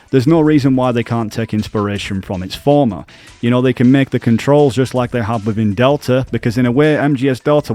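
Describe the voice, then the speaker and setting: A man narrating a scripted video essay about games as a YouTube commentator, explaining in an earnest, measured way. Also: mid-range voice, darkish tone.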